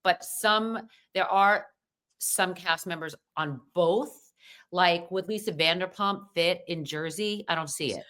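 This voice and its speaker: Low-voiced chatty American woman. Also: takes it slowly, fairly bright tone. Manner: chatty, lots of fillers and restarts. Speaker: podcast guest. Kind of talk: casual chat.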